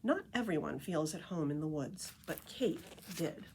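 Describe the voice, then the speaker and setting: A woman reading a children's picture book aloud as a storyteller, with expressive, deliberate emphasis on key phrases. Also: low-pitched, a little hiss or hum.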